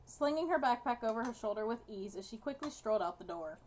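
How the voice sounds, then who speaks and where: A woman with a fairly high voice reads visual novel narration aloud. Her delivery is that of someone reading story text during a game playthrough. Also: expressive, quick pace, a little hiss or hum. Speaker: gaming video co-host.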